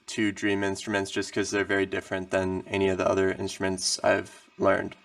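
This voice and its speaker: A man's voice: low-pitched, monotone, brisk. A man in his twenties from Wisconsin, an easygoing musician guest telling his story in a casual interview. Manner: easygoing, agreeable. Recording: voice from far off the mic.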